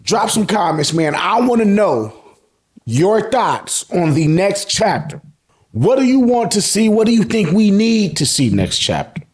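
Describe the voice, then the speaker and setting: An American man giving an excited, slangy fan recap, emphatic and repeating phrases for effect, with casual swearing. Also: sits high, unhurried. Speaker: host of an anime and manga review channel.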